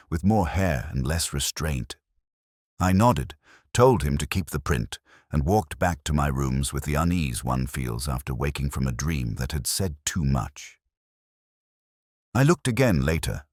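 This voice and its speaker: A man as a very deep, brooding detective narrator. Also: slightly rough, no room sound, studio quality, full character voice. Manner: measured, literary, introspective. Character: brooding, erudite English male detective narrating a mystery. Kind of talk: scripted first-person mystery narration.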